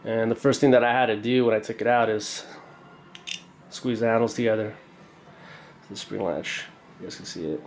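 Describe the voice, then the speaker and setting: A young man with a congested, stuffy voice talks through an unboxing in a loose, rambling way, peppering it with fillers and slang. His delivery stays fairly flat in pitch, with a roomy echo and a faint hiss in the background. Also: normal speed, pauses often. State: congested from a cold. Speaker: knife collector reviewing a purchase. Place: indoor room, camera over a tabletop.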